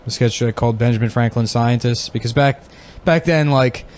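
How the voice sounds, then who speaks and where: A man co-hosting a comedy podcast, riffing in a dry, rambling, deadpan way with plenty of swearing. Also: medium pitch, normal speed. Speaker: podcast co-host. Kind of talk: casual comedy podcast banter.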